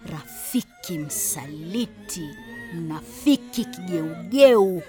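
A slow, solemn female mourner. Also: on the low side, expressive. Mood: grieving, bitter. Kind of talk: theatrical dramatic monologue. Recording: music behind the voice. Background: music.

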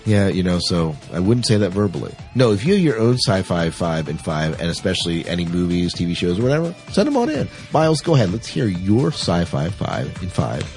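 A man with a husky voice hosting a podcast in a casual, chatty style. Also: low voice, sing-song, brisk, hardly any pauses, darkish tone, faint music behind. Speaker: podcast host.

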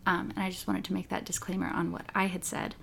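A woman's voice: lowish voice, flat delivery, quick pace, vocal fry, fairly bright tone. A woman interviewer who asks polite, carefully worded questions.

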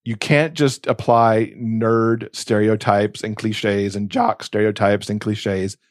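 A man's voice: lowish voice, fairly even intonation, takes it slowly, no room sound. Man gushing casually with a dry, close sound. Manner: effusive, emphatic. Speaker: podcast co-host recommending books. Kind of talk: casual enthusiastic book chat.

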